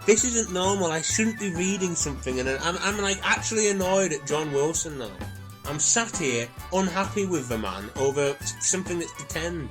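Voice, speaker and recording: An exasperated, sweary heckler in a comedy radio play, played by a male actor. His voice sits a little high for a man, and music plays clearly underneath. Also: expressive, normal speed, close to an everyday voice, low-quality recording. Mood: annoyed. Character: foul-mouthed, irritated castaway heckling the others.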